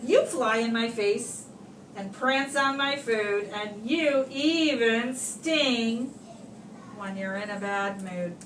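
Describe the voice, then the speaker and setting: A woman reading a children's picture book aloud like a teacher, with expressive, deliberate phrasing and pauses for young listeners, recorded at a distance in a room. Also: on the high side. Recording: roomy, distant microphone, clear background noise.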